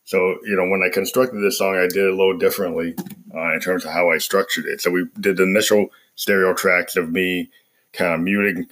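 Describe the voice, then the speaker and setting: Deep, ragged-voiced man with a cold. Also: fairly even intonation, brisk, a bit bright. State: hoarse from a cold. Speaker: host, musician. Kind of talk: casual music production walkthrough.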